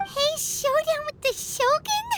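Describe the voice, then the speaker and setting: Tiny fairy companion, extremely high squeaky childlike voice, wildly swooping, flustered, cartoonish game acting. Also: female voice, expressive, slow, a bit bright, slight background noise, exaggerated voice. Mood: worried. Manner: refers to herself in third person, flustered exclamations. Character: tiny, excitable, anxious female fairy sidekick.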